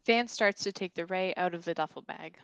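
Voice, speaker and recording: A woman's voice: on the low side, brisk, vocal fry, no echo, low-quality recording. A woman reading stage directions as the narrator of a scripted comedy table read.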